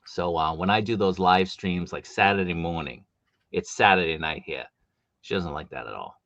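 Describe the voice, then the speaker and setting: A man hosting a live stream from home, chatty and animated, with a big voice and coming down with a cold. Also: low voice, normal speed, dry recording, muffled recording. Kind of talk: casual live stream chat.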